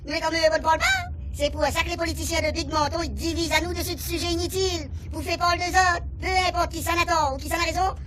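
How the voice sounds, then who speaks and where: A woman with a Réunionese Creole accent voicing an excitable alien official who rambles on in a radio comedy serial. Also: very high voice, fast talker, hardly any pauses, a little hiss or hum. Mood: excited. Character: chattering alien official from another planet.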